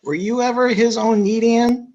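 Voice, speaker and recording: A woman's voice: a little high, fairly even intonation, normal speed, a little mellow, close to an everyday voice. A woman playing an innocent, inquisitive little girl in a sitcom table read. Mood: innocent, puzzled. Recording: dull lo-fi call audio.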